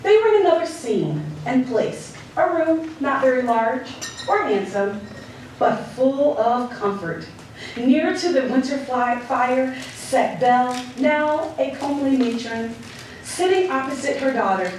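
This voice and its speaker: Female story narrator, expressive and unhurried, live stage radio drama, distant and heavily reverberant. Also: high-pitched, a little hiss or hum. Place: live community theatre stage. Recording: very echoey live hall, distant, crowd behind.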